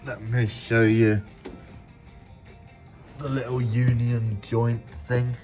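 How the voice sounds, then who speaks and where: A man with a slight cold talking through a car repair in a halting, self-correcting way as he works. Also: medium pitch, level tone, darkish tone, faint music behind. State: has a bit of a cold. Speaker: home mechanic. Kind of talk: casual step-by-step repair commentary.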